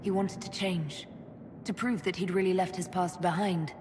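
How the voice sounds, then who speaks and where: A woman's voice: fairly low pitch, steady tone, moderate pace, natural voice. An actress playing an earnest, composed female leader in a video game, direct and sincere. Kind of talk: dramatic video game acting. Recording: game capture with clear background noise.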